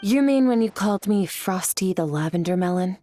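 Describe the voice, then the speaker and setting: A woman's voice: mid-range voice, lively intonation, fairly quick, warm tone, close to an everyday voice. A woman playing an aloof, battle-hardened swordfighter in a video game, speaking in a cool, terse, formal way. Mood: dry, unimpressed. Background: background music.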